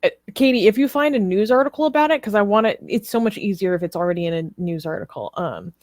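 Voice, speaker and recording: A woman with an average-pitched voice chats as co-host of a live discussion show. She is informal, opinionated and emphatic, and the sound is very dry with little room in it. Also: fast talker, no room sound. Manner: animated, informal, emphatic, laughs readily.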